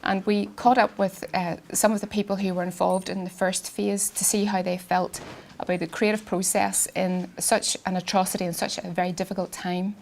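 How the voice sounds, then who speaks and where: A woman, an artist giving a public talk in a quiet, soft voice, hesitant with many ums and restarts, and she has a cold. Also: mid-range voice, level tone, normal speed, creaky, hardly any pauses, slight background noise. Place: garden event space with sound system.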